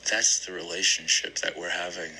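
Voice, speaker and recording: A man playing a measured, analytical clinician in a play, speaking in a deliberate, probing manner. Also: deep voice, level tone, gravelly, bright, forceful tone, a little hiss or hum, full character voice, poor audio. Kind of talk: dramatic play reading.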